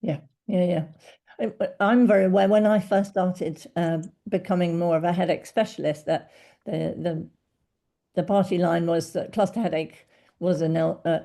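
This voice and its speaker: A woman's voice: on the low side, warm tone. A middle-aged woman, a doctor hosting a podcast, asking questions and giving attentive backchannels. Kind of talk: podcast interview.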